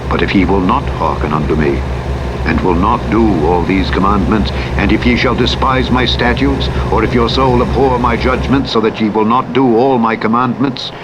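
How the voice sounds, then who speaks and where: Low-voiced man reading scripture over loud engine noise. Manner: measured, declamatory, formal. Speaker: Bible narrator. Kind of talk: solemn scripture reading from the King James Bible. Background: truck simulator engine and road noise.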